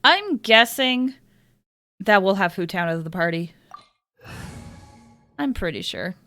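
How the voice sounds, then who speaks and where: A woman's voice: mid-range voice, lively intonation, slow, bright, forceful tone, faint music behind. A young adult woman giving casual, playful live commentary on a game, with sing-song exclamations. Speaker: game streamer.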